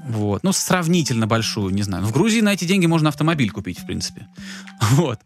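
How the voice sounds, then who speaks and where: A man's voice: mid-range voice, big pitch swings, fast talker. Man, casual podcast chat, rambling storyteller, many fillers, faint music behind. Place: Tbilisi.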